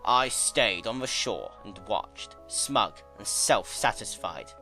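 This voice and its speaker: A man voicing an aging male creature of chaos, smug, weary and tender, with deliberate, emphatic delivery in a dramatic story reading. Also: fairly low pitch, sharp tone, heavily acted. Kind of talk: dramatic fan-fiction reading.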